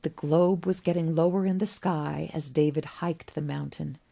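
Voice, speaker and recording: A woman reading literary fiction aloud as an author would, with an expressive, dramatic storybook delivery and deliberate pauses. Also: sits low, gravelly. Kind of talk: expressive scripted story narration.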